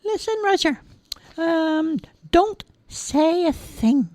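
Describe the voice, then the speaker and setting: Woman voicing a high, giggling thumb-sized magical man for a storybook. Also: lively intonation, slow pace, dark tone, dry recording, heavily acted. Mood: cheerful. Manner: chirpy, giggling. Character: tiny giggly magical man living in a heart.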